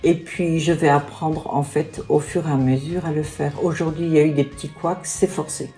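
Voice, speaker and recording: A woman's voice: low voice, fairly even intonation, moderate pace, dark tone, faint music behind. Chatty woman in her sixties. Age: 60s. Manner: chatty, fills with "hein" and "voilà". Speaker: beauty vlogger. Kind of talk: casual makeup tutorial and product review.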